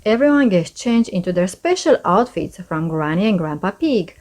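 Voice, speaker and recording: Animated woman reading a children's storybook. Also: mid-range voice, normal speed. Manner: expressive, addressing young listeners directly. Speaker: host reading children's books aloud. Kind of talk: read-aloud storybook narration for children.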